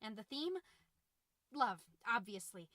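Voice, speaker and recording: Casting: actress as fretful, over-cheerful suburban mom podcaster, high bright voice, folksy confiding monologue, anxious underneath. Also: female voice, animated, takes it slowly, dry recording, studio quality, exaggerated voice. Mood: cheery sign-off. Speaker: podcast host.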